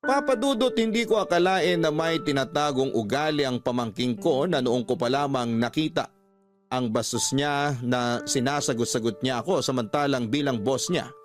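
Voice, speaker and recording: A man's voice: medium pitch, no room sound, close to an everyday voice. Guy reading as stressed uncle telling his story on radio, dry studio sound, light music under. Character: troubled shop-owner uncle telling his letter story. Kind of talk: scripted first-person radio story narration.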